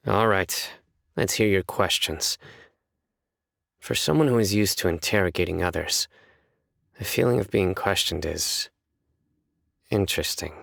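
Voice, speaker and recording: A man voicing a stern, principled young judge in a video game, reserved, with measured, formal delivery. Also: sits low, lively intonation, creaky, a bit bright, no echo, clean studio sound, heavily acted. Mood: guarded, curious. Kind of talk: scripted video game character voice lines.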